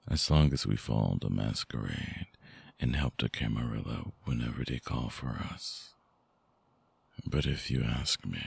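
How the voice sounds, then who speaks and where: A brooding vampire ex-lover, acted by a man, murmurs right up close in an extremely low voice full of heavy fry. He is breathy and husky, lovesick and possessive, coaxing slowly in an intimate ASMR roleplay with a very dry, close sound. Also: no room sound, full character voice. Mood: seductive, tender. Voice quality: very deep, breathy, gravelly. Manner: slow, hushed, coaxing, with long pauses. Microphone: close microphone, dry binaural recording.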